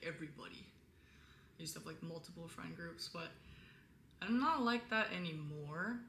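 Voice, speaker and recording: A young woman hosting a casual live stream, chatting to viewers in a candid, rambling way with a lowish voice. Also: pushed and bright. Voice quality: lower-toned voice. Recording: roomy echo, faint background noise.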